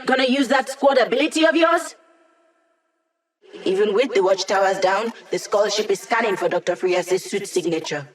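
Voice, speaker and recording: A woman's voice: mid-range voice, normal speed, natural voice. A woman voice actor playing an upbeat, wisecracking young woman hacker in a video game, casual, playful and teasing. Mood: focused, informative.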